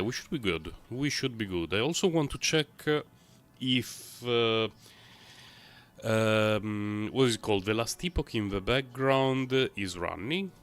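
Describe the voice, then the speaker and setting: Man with a cold-roughened voice, rambling. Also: fairly low pitch, a bit bright. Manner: rambling and chatty, full of uh and um. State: voice a bit low and rough after a cold. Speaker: game streamer. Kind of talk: casual live-stream gameplay commentary.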